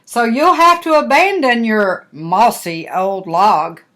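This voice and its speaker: A woman's voice: a little high, slow, a bit bright. An older woman voicing a kind, mud-loving male hog from a storybook, matter-of-fact and a little sniffy.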